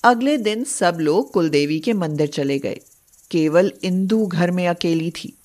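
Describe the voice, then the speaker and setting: Slow-paced woman narrating a story. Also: fairly low pitch, lively intonation, a little mellow.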